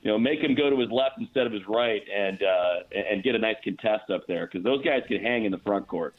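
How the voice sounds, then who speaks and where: A man's voice: lowish voice, fairly quick. An American man giving analysis as a guest announcer in a sports radio interview, thinking aloud with repeated words. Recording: dull, narrow-band phone-like line.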